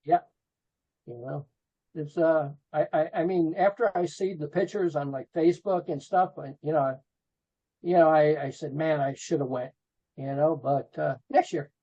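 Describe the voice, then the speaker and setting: A man's voice: on the high side, fairly even intonation, normal speed, dark tone. Dull lo-fi New man chatting. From New Jersey, USA. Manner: informal, repetitive, peppered with "you know". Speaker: podcast guest, rock photographer. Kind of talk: casual reminiscing interview chat. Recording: dull lo-fi call audio.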